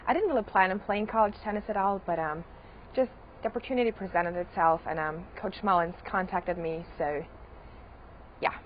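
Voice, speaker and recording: A young woman from Austria, a college tennis player, answering interview questions in a relaxed way with "um" pauses. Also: medium pitch. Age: young adult. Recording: slightly dull, faint hiss.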